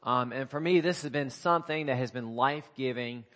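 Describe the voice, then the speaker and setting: Scratchy-voiced male pastor, halting sermon. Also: medium pitch, fairly bright tone. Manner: halting, many fillers and restarts. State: scratchy voice from a coming cold. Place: church sanctuary.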